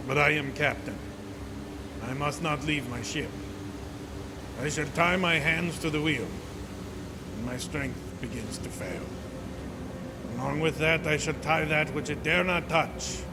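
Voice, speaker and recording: A man's voice: mid-range voice, very noisy, natural voice. An actor playing a doomed, dutiful ship's captain reading his grim log in clipped phrases, in a gothic horror radio play. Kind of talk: live gothic horror radio drama acting.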